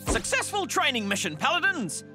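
An actor playing a jovial, boastful, eccentric mentor with exuberant delivery in an animated series. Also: male voice, very high voice, normal speed, over music. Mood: gleeful, matter-of-fact.

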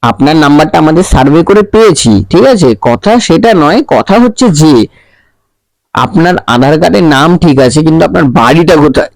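A man's voice: on the high side, animated, a little fast, creaky, no echo, low-quality recording. Teasing Bengali man on a prank call. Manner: teasing, flirty, mock-official. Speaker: prank caller.

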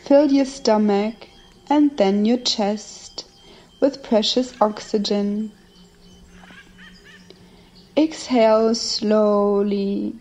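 A woman narrating a scripted guided meditation with affirmations, in measured short phrases separated by long pauses. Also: medium pitch, fairly even intonation, dark tone. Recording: nature ambience behind the voice. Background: nature and ambient sounds.

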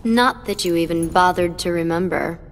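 A woman's voice: fairly low pitch, moderate pace, long reverb tail, close to an everyday voice. An actress voicing a sadistic young female villain in a game, taunting with a mocking sing-song manner. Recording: game cutscene with loud music.

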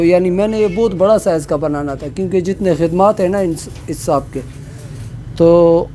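A man in his fifties from Pakistan, an artist being interviewed in his workshop, talking earnestly about his hardships with many filler words. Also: sits high. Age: 50s. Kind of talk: casual interview. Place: cluttered workshop, indoors. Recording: noisy background.

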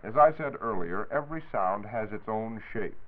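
A man's voice: fairly low pitch, expressive, normal speed. An American man giving a formal, precise scripted explanation as a 1940s radio science reporter. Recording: tinny, phone-like 1940s radio recording.

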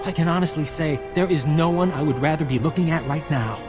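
An actor playing a flirtatious, upbeat resistance fighter in a video game, with a playful, effusive manner. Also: male voice, fairly high pitch, fairly quick, over music, natural voice. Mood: delighted, amazed. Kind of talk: video game cutscene acting.

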